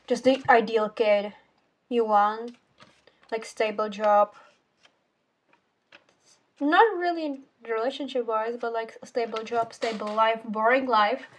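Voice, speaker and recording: A teenage girl whose first language is Czech, casually reviewing a book in a rambling, self-correcting way with casual swearing. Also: fairly high pitch. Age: teens. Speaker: book vlogger.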